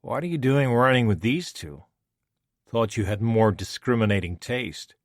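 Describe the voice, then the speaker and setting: A man voicing a blunt, weary male killer with dry, deadpan humor. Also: medium pitch, moderate pace, no echo, lightly acted.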